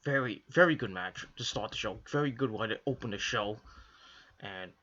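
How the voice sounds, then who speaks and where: A young man from the Northeastern US rambles casually through a wrestling review, stumbling over restarts and fillers. His voice is hoarse from a cold, lowish but bright and pushy in tone. The recording has a dull, lo-fi home sound. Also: moderate pace. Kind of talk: casual solo commentary to camera.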